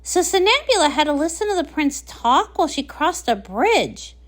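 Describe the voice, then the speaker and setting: A woman's voice: high-pitched, sing-song, moderate pace. A woman voicing a bubbly, scatterbrained female party pony, bouncy and chatty, in a cartoon comic read-along. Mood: eager.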